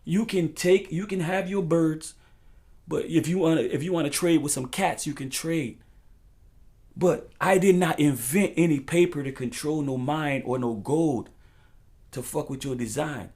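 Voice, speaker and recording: A man's voice: fairly high pitch, a little slow, a little mellow. Impassioned man preaching in street slang. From United States. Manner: preaching, profane, repetitive, emphatic. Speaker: talk show host. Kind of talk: impassioned freestyle monologue with street slang and rhyming phrases.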